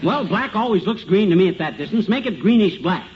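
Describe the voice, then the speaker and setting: An actor playing a blustering, muddled, folksy know-it-all husband in a 1950s radio comedy. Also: male voice, high-pitched, expressive, brisk. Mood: cocky, blustering. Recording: dull, noisy old radio recording.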